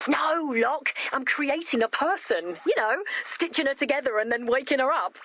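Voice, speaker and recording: A woman voicing an eager young female inventor in an animated video game, enthusiastic and emphatic, cheerfully matter-of-fact about macabre things. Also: sits high, normal speed, a little hiss or hum. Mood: insistent.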